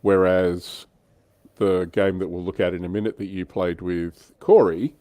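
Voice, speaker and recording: A man with a fairly low voice, recorded close and dry. He hosts a casual hobby livestream, talking conversationally and readily poking fun at himself. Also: measured pace, mellow, no echo. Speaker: livestream host. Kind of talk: casual hobby chat about tabletop wargames.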